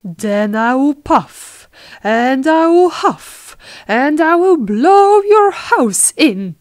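A woman voicing a menacing, wheedling male wolf from a fairy tale, with emphatic, drawn-out delivery. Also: high-pitched, very animated, no room sound, studio quality, pitched above the performer's own voice, exaggerated voice. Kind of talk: storybook fairy tale character voice.

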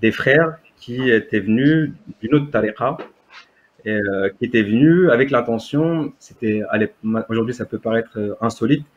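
A man's voice: mid-range voice, normal speed, slight background noise. A man in his thirties, a native Berber speaker, telling his story as an interview guest on a video call, hesitant with many fillers and restarts. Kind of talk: testimony in conversation. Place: home room, indoors. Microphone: webcam on video call. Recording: dull lo-fi video call audio.

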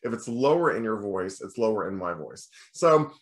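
Man with a bass voice teaching choir. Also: normal speed. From United States. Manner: relaxed explaining, breaks into sung demonstrations. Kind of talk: recorded lecture over slides.

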